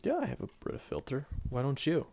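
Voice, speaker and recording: A man voicing a grumpy tsundere teenage boy who is gruff but caring, grumbling, sighing and muttering half-whispered asides in an audio roleplay. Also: medium pitch, big pitch swings, fast, darkish tone, lo-fi sound. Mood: gruff concern.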